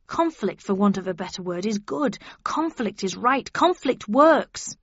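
A woman's voice: mid-range voice, expressive, a little slow, breathy edge, no room sound, lo-fi sound. A woman voicing a brooding, conflicted male detective in an audiobook, earnest and protesting. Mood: agitated, protesting.

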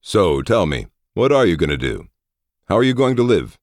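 Audiobook role: jovial, theatrical lord, played by a male narrator, raised pitch, lively swings, exclamatory delivery. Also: normal speed, no room sound, studio quality. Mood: mock outrage. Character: flamboyant, mock-outraged lord, jovial old friend. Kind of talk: audiobook character voice.

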